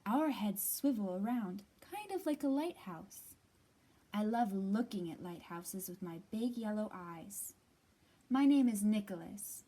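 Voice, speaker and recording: A woman's voice: mid-range voice, expressive, unhurried, dark tone. A woman reading as a curious, big-eyed owl in a picture book, with an earnest, wondering manner. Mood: earnest, admiring.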